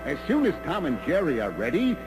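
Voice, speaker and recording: A man voicing an excitable cartoon tennis commentator, calling the play in a theatrical, exclamatory manner. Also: fairly high pitch, a little fast. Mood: announcing. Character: excitable male cartoon tennis match announcer. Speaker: sports commentator. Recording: music under the voice. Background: loud background music.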